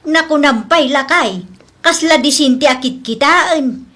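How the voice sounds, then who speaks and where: A woman's voice: high-pitched, a little slow, pushed and bright. A woman playing a gossipy, exclaiming wife in a comedy audio drama. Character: high-voiced gossiping wife.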